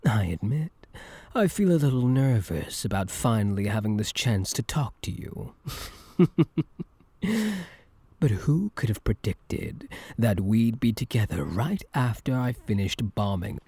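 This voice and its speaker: A low, gravelly male yandere villain, acted by a man for an ASMR roleplay. He speaks slowly and softly, close and dry, teasing his captive with false gentleness and swinging freely in pitch. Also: sing-song, no room sound, exaggerated voice. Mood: smitten, teasing. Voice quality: breathy, gravelly, dropping at times into whispers. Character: obsessive yandere supervillain, soft-spoken, taunting, infatuated with captive hero. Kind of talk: intimate ASMR roleplay monologue.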